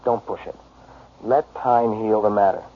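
An actor playing a calm, placating male friend, speaking in a soothing and reassuring way. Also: on the low side, measured pace, breathy edge. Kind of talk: screen drama acting. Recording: tinny, narrow, phone-like audio.

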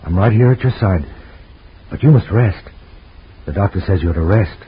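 A man's voice: deep voice, expressive, normal speed, slightly rough, heavily acted. A man voicing an anguished husband in an old radio drama, pleading and emotional in a 1940s acting style. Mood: tender. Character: anguished guilt-ridden husband. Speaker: lead actor. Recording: dull lo-fi old radio recording.

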